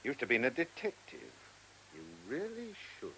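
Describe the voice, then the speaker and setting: An actor with a British accent playing a brisk, probing chief inspector with a husky voice in a radio play. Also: male voice, medium pitch, wildly swooping pitch, sharp tone, a little hiss or hum, exaggerated voice, low-quality recording. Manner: questioning, authoritative. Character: chief police inspector, brisk, married, courteous but probing. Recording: old radio drama recording.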